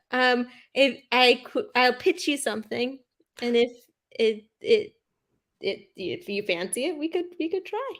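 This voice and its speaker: A high-pitched woman tosses off puns in a playful, halting way, full of little fillers and giggles. She talks over a video call in quick-fire comedy banter. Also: lively intonation, a bit bright. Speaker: pun contest competitor.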